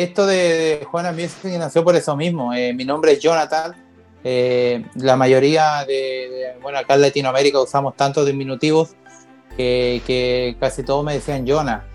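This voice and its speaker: A man in his thirties with a Chilean accent answers interview questions, circling back on his words with frequent fillers. His voice sits a little high for a man. Soft music plays underneath. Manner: hesitant, many fillers and restarts. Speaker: musician guest. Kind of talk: casual interview chat.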